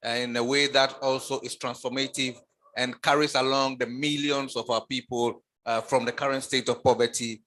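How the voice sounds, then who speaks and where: A man giving remarks on a panel, deliberate and pausing with uh between phrases. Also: mid-range voice, little pitch movement, a bit bright. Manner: deliberate, with uh pauses.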